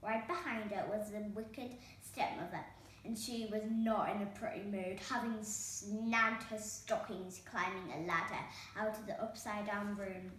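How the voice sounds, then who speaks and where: A woman's voice: medium pitch, steady tone, a little slow, fairly bright tone. A woman reading a children's storybook aloud as a storytime host. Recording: very echoey room, distant microphone, faint noise.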